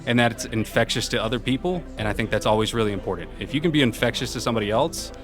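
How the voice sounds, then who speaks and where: A man with a loud, carrying voice answering interview questions in an informal, upbeat, self-effacing way as a senior program manager. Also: medium pitch, very fast. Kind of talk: casual conversational interview answers. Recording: music playing behind the voice.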